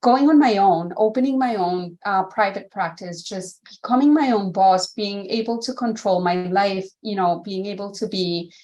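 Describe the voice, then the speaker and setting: A woman's voice: mid-range voice, normal speed, hardly any pauses, muffled recording. Chatty woman guest from Colombia. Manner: warm, chatty, frequent fillers. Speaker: guest, vascular surgeon. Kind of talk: casual interview.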